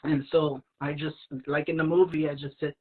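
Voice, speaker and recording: A man with a French Canadian accent, a native French speaker, telling personal stories in a casual guest chat, lively and acting out the lines he quotes, with plenty of fillers. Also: on the high side, moderate pace, breathy edge, phone-quality sound. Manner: animated, acts out quoted lines, many fillers. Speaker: guest, aspiring actor. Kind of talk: casual storytelling.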